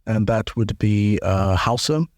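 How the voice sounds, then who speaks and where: Man, low, dry voice. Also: unhurried, no room sound. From South Africa. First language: isiZulu. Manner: relaxed, explanatory, with many fillers and restarts. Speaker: guest, linguist and musician. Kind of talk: casual interview chat.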